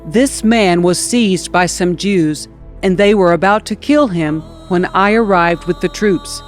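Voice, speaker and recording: A woman reading scripture aloud as a scripted audiobook narration. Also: medium pitch. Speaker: scripture reader.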